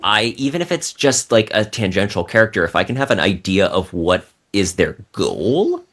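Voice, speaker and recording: A man's voice: on the low side, big pitch swings, moderate pace, fairly bright tone. A man speaking as a guest novelist on a podcast, explaining writing in an articulate, bookish, conversational way. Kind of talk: conversational interview talk about writing.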